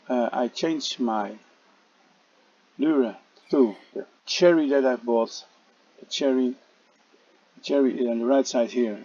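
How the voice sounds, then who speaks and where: A man narrating a casual gameplay tutorial, speaking haltingly with frequent "uh" fillers and restarts. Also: mid-range voice, dark tone, muffled recording, a little hiss or hum. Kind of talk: casual game tutorial voice-over.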